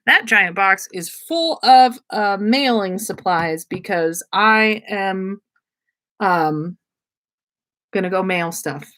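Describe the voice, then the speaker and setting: Woman podcast host chatting on a livestream, slow rambly pace, mid pitch, gushy and slangy, swears a bit. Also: lively intonation, a bit bright. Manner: rambling, effusive. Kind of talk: casual livestream chat.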